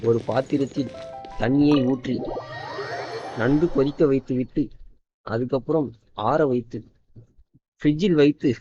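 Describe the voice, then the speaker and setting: A man's voice: medium pitch, dark tone, lo-fi sound. A man casually commenting with jokes and laughing asides while playing a game. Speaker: gaming streamer.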